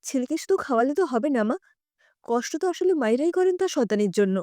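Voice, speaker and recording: A woman's voice: sits high, animated, quick pace, mellow, no echo, clean studio sound. A woman voicing an earnest, outspoken young daughter-in-law with an insistent manner in an audio story. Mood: coaxing, insistent. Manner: insistent, questioning.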